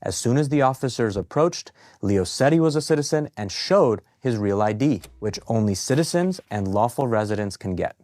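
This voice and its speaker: A man narrating from a script as a legal advocate, speaking in a measured, earnest way. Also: medium pitch, expressive, a little mellow, no echo, studio quality.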